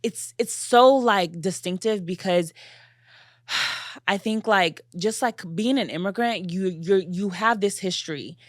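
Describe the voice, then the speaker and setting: A young woman born in Nigeria hosts a podcast in a middle-pitched voice, chatty and animated, often affirming her guest. Her voice sits close and dry on the mic. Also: a little slow, no room sound. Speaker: podcast host. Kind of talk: casual podcast conversation.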